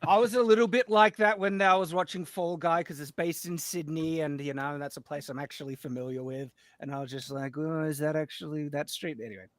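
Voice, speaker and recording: A man co-hosting a live stream, excitable and quick to laugh, making plans for a fan meetup. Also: a little high, dry recording. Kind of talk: casual live-stream chat.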